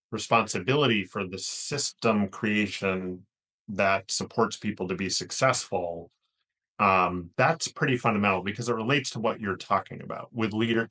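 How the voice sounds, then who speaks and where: Deep-voiced American man, earnest interview guest. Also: bright, forceful tone. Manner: earnest, measured, repeats and rephrases words. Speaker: chief executive, interview guest. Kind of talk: thoughtful interview answer.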